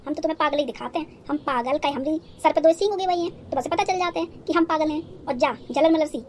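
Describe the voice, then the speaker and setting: Woman voicing a high-pitched naive village bride. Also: fast, a little hiss or hum, lightly acted. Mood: indignant. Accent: rural North Indian. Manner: rambling, guileless, theatrically indignant. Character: naive, dramatic, talkative young village bride. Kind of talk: cartoon story dialogue in rustic dialect.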